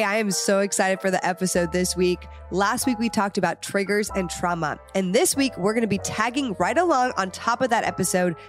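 A young woman with a light lilt talks through her podcast in an upbeat, encouraging way. A head cold leaves her sounding nasal, and music plays clearly beneath her voice. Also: mid-range voice. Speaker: podcast host. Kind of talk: casual podcast chat.

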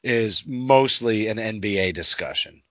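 A man's voice: fairly low pitch, measured pace, no room sound. Dry, lo-fi male sports podcast host. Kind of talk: casual sports discussion.

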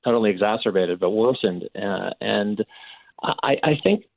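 A Canadian man answering as a radio interview guest, speaking earnestly and haltingly, often repeating his words. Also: on the low side, lively intonation, gravelly, no room sound, phone-quality sound. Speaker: interview guest, abuse survivor and advocate.

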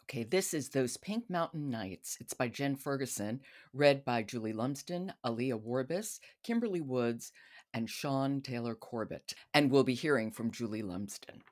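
A woman's voice: a bass voice, expressive, normal speed, darkish tone. A woman hosting a podcast, chatting and asking questions in a conversational book discussion. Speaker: podcast host.

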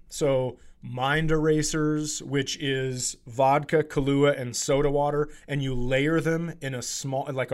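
A middle-aged man hosting a podcast, chatting casually in a joking, playfully teasing way. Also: mid-range voice. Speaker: podcast host. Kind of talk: casual comic chat.

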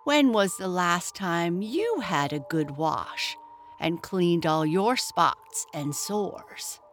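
A woman voicing a lonely, grumpy old witch in a bedtime story, with a shrill, dramatic manner. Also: expressive, slow, fairly bright tone, soft background music. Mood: cranky.

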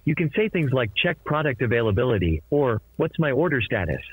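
A man's voice: medium pitch, sing-song, fairly quick, slightly rough, no room sound. A man's voice as an automated telephone assistant, reading scripted menu prompts over a phone line.

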